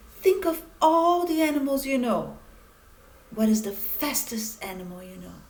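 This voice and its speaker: Slow-paced woman reading a storybook aloud. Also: high voice, a little mellow, low-quality recording. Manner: deliberate, addressing children with questions. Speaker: teacher reading aloud to children. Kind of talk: children's storybook read-aloud.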